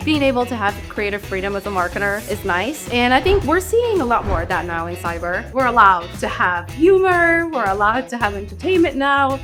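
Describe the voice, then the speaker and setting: A woman's voice: fairly high pitch, big pitch swings, fairly quick, few pauses, music in the background. A woman from Morocco, a marketer and podcast guest, talking with energy and enthusiasm. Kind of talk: casual interview chat.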